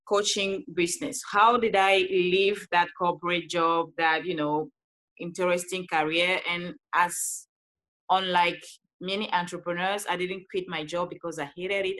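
A woman from Cameroon with a Cameroonian accent, telling her story as an interview guest in an animated way with frequent laughs. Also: on the low side. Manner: animated, laughs often, restarts sentences. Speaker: guest, life coach.

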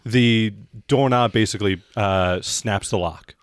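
A man with a fairly low voice runs a tabletop game. He describes the scene haltingly, improvising as he goes, and the recording is very dry. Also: clean tone, dry recording. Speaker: game master.

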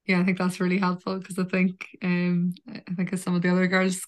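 Woman in a group call, agreeable, near-monotone. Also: lowish voice, brisk. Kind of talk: live online group Q+A.

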